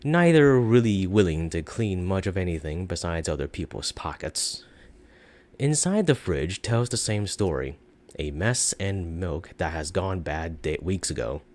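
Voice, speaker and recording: A man with a low voice narrates a story aloud. His delivery is expressive, with pitch that moves widely from phrase to phrase. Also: a little slow.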